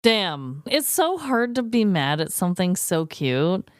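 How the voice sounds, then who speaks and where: A woman's voice: fairly low pitch, sing-song, measured pace, clear, resonant tone, no echo. A woman co-hosting a podcast in casual, reactive chat on a close microphone. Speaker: podcast co-host.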